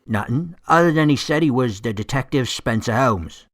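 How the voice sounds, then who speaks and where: An actor playing a blunt, indifferent cab driver in an audio drama, terse and offhand. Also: male voice, medium pitch, expressive, normal speed, no room sound, clean studio sound, lightly acted.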